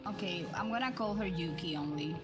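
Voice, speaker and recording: A woman's voice: lowish voice, lively intonation, fairly quick. A woman streaming a video game and chatting casually as she plays. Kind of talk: casual livestream chat while playing. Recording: music under the voice.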